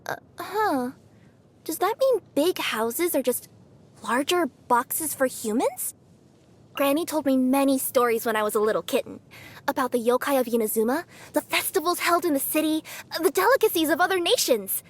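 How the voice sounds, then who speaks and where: An actress voicing a cheerful young female cat-yokai courier for a video game, bubbly and bashful, quick to fluster. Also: high voice, expressive. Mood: chatty. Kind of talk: video game character voice lines.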